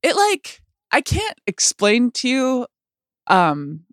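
A woman with a lower voice than most women, speaking candidly in a conversation with many likes and restarts. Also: huge pitch swings, slow, dry recording, clean studio sound. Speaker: guest sharing her experience.

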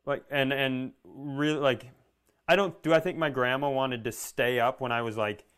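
A man's voice: medium pitch, normal speed, a bit bright, smooth. A man co-hosting a comedy podcast, telling rambling, wry stories in casual chat.

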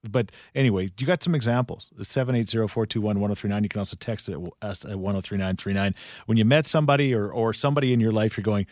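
A man's voice: on the low side, quick pace, a little mellow, no echo, clean studio sound. A man hosting a casual radio talk show, chatting conversationally. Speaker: radio and podcast host. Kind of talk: casual morning radio chat.

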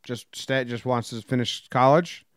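A man's voice: medium pitch, steady tone, unhurried, no room sound. A man co-hosting a sports podcast, trading sarcastic banter and reading offbeat news headlines with dry jokes. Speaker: podcast co-host. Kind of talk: casual sports chat and jokey news rundown.

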